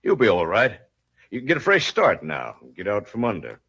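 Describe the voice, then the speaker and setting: An actor playing a folksy, cautious, regretful moneylender in an old-time radio western. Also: male voice, on the low side, huge pitch swings, brisk, fairly bright tone, husky, heavily acted. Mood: consoling. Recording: muffled vintage radio drama recording.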